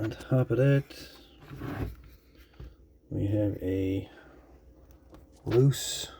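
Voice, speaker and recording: A man giving a casual, unscripted show-and-tell as a video host, musing haltingly and trailing off as he goes. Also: on the low side, gravelly, dark tone, a little hiss or hum. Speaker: host of a radio repair channel.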